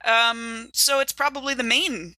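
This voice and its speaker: A woman's voice: a little high, fairly even intonation, a little slow, sharp tone, no echo. A woman chatting casually and playfully as a player on a tabletop game podcast.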